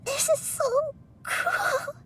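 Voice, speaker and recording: A small child goddess in a fantasy game. The voice is extremely high and girlish. It moves slowly and haltingly, earnest, fragile and tender-hearted. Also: bright, forceful tone, faint music behind, full character voice, poor audio. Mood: pleading, grief-stricken. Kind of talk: scripted fantasy game voice acting.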